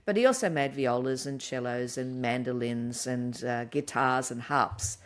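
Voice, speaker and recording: A woman's voice: extremely low pitch, unhurried. A woman co-hosting a talk show, explaining a topic with enthusiasm and an easy laugh. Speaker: podcast co-host. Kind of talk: casual chat.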